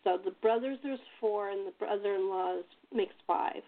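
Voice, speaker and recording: A woman's voice: medium pitch, normal speed. A woman chatting casually as host and playwright, telling anecdotes and given to chuckling. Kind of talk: casual panel chat. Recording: narrow tinny phone-like call audio.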